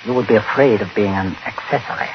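A man acting in a 1940s radio drama as a coldly menacing patriarch who speaks deliberately, precisely and with command. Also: fairly low pitch, dull audio, close to an everyday voice. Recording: noisy old radio recording.

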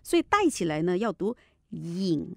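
Animated woman teacher, very dry sound. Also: on the low side, normal speed, gravelly, dry recording. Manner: instructive, repeats key points, demonstrates syllables. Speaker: Mandarin teacher and contest commentator. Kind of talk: explanatory teaching commentary.

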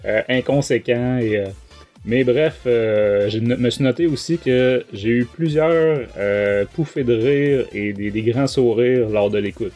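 A man's voice: mid-range voice, measured pace, darkish tone, faint music behind. A man with a Quebec French accent, a podcast host giving a casual review in a hesitant, rambling way. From Quebec, Canada.

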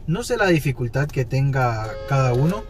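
A man's voice: mid-range voice, very animated, moderate pace, faint music behind. Chatty man vlogging in a parked car. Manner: chatty, upbeat, talks straight to viewers. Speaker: toy collector vlogger. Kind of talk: casual unboxing vlog.

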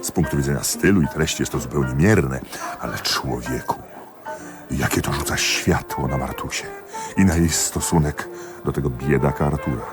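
Very deep-voiced, confiding jealous husband. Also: male voice, normal speed, music in the background. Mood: relieved, sheepish. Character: jealous husband, examining magistrate, confiding ruefully to a friend. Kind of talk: theatrical radio drama monologue.

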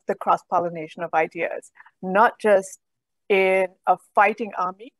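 Middle-aged woman guest on a video call, calm measured explaining, dry webcam audio. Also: medium pitch, no room sound, poor audio. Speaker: guest, program director.

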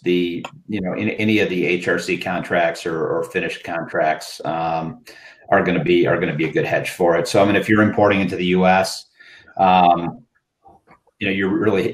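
A man's voice: sits low, roomy sound. A man speaking as a panelist on a webinar over a video call, conversational, with frequent restarts. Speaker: panelist, market specialist.

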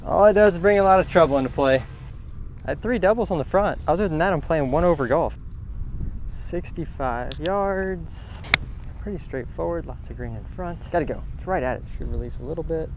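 A man's voice: on the high side, a little fast. Upbeat young man playing golf. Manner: upbeat, self-coaching, wry. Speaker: golf vlogger. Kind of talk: casual on-course commentary. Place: outdoor golf course. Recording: outdoor noise on camera mic.

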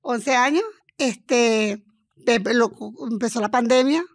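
A middle-aged woman with a Venezuelan accent, telling her story as a guest in an interview, halting and restarting often. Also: a little high, no echo, clean studio sound. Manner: hesitant, halting, with many restarts.

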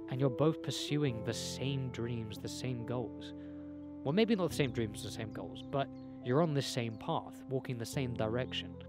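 Young guy, candid, rambling vlog to camera, swears freely, faint music under it, outdoors. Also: medium pitch. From England. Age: young adult. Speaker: self-improvement vlogger. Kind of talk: casual talk to camera. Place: outdoors in a green field by a hedge. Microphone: handheld camera mic, close.

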